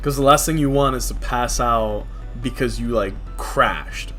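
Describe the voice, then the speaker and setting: A man's voice: mid-range voice, measured pace, sharp tone. A young man from New City, talking casually and candidly in slangy, conversational livestream chat. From New York City. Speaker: game livestreamer. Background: music.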